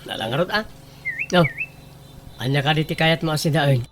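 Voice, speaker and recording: A man's voice: a little high, normal speed, background noise, natural voice. A man playing an exasperated but doting husband who fusses and protests over his precious rooster, in a comedic radio drama. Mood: puzzled concern. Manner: fussy, questioning, protesting.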